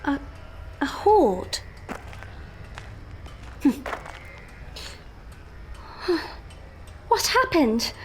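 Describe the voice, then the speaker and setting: An actress plays a genteel young Victorian lady in an audio drama. Her voice is high and unhurried, prim and careful, with an anxious temper. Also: very animated, slight background noise, heavily acted, rough recording. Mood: uneasy. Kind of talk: period audio drama acting.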